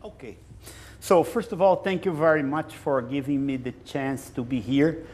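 A man in his sixties from Brazil with a Brazilian Portuguese accent, speaking on a conference panel in a slow, deliberate, emphatic way with pauses, on a lapel mic. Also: fairly high pitch, fairly even intonation, a little mellow, husky. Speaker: panelist, chief scientist. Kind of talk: panel discussion. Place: conference stage.

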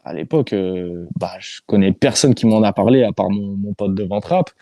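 French YouTuber guest, male, mellow dry voice over a video call, hesitant and slangy. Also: lowish voice, moderate pace, no room sound. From France. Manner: hesitant, many fillers and restarts, loose slang. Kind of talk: casual interview answers.

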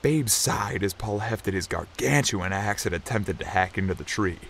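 A man's voice: on the low side, normal speed, vocal fry. A man narrating a scripted story as a dramatic storyteller. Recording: loud ambient noise behind voice.

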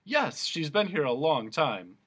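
A man's voice: a little high, sing-song, unhurried, breathy edge, voice pushed up, exaggerated voice. A man voicing a blustering, self-important male policeman who is also judge and jailer. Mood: pompous, booming. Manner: loud self-important proclamations.

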